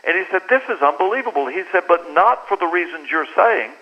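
Guest author, American man, slightly high voice, lively storyteller on a dull phone line. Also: a little fast. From United States. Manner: animated storyteller who acts out quoted dialogue. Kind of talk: talk radio interview, storytelling.

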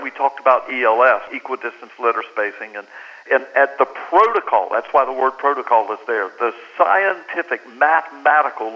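An American man, an author, telling a story earnestly and with emphasis as a guest in a radio interview. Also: medium pitch. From United States. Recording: muffled lo-fi phone line.

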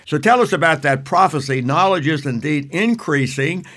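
Bright eager male radio host. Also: a little high, expressive, normal speed. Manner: eager, enthusiastic questioning. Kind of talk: radio interview.

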